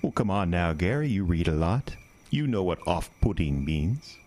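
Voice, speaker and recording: A man's voice: low-pitched, sing-song, normal speed, a little mellow. An actor playing a sly, menacing stranger who corners a boy in the woods at night, with teasing, old-fashioned phrasing. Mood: sly menace. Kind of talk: horror audio drama.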